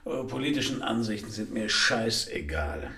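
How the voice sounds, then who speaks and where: A man's voice: on the low side, lively intonation, normal speed, bright, forceful tone, slightly rough, full character voice. An actor playing a wry, laconic private detective who narrates his case in a German radio crime drama. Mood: defiant.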